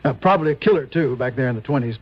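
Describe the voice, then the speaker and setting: A man's voice: mid-range voice, lively intonation, rapid-fire, lightly acted. An actor playing a harried, fretful male insurance office manager in a 1950s radio drama, stammering and flustered when he is pressed. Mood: confiding. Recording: dull vintage radio broadcast.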